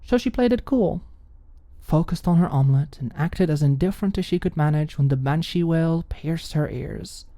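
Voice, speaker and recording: A woman's voice: low voice, moderate pace, mellow, dry recording. A woman reading scripted story narration for an ASMR audiobook, recorded close to the mic.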